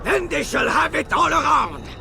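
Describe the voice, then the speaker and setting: Menacing male knight warlord, very gravelly strained shouting, declamatory threats, video game cutscene, noisy outdoor background. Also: extremely high pitch, very animated, moderate pace, exaggerated voice. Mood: furious, threatening. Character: menacing enemy Templar knight commander, male, besieging a fortress.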